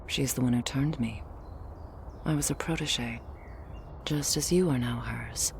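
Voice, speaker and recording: A woman voicing a stern, guarded female vampire bodyguard in an ASMR audio roleplay, hushed, dry and terse. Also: very deep voice, unhurried, creaky, slight background noise, full character voice. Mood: musing, hushed.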